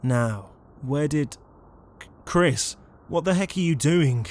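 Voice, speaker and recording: A man's voice: fairly high pitch, expressive, slow pace, darkish tone, a little hiss or hum. Man playing a flustered, sarcastic young ghost. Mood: exasperated. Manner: sarcastic, flustered, easily annoyed. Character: exasperated, anxious, sarcastic young male ghost. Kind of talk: fan audio roleplay comedy acting.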